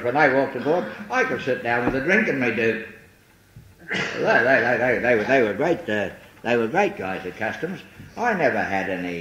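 A man's voice: medium pitch, fairly bright tone. An older man with a Liverpool accent, giving a rambling, self-mocking personal testimony at a recovery meeting. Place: large hall. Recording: echoey hall, faint hiss on old tape.

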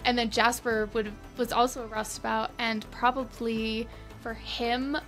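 Higher-voiced, enthusiastic woman in casual conversation. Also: a little slow, soft background music. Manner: enthusiastic, expressive. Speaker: guest tabletop role-playing player.

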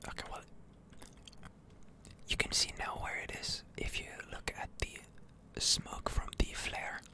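Slow, whispering ASMR gamer. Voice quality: breathy unvoiced whisper. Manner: soothing, drawn-out delivery with repeated words. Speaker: video game streamer and channel host. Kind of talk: whispered ASMR game commentary.

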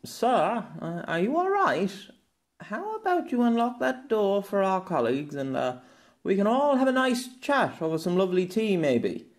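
A man's voice: high-pitched, big pitch swings, moderate pace, pitched above the performer's own voice. A man voicing a polite, hesitant police officer in an audiobook, coaxing and placating. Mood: nervous coaxing.

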